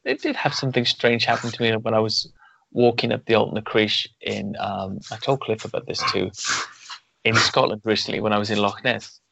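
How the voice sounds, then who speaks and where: A man's voice: on the low side, vocal fry, background noise. A man hosting a podcast interview, talking in a thoughtful, rambling way with many restarts. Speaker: podcast host. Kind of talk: conversational interview. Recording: dull lo-fi sound.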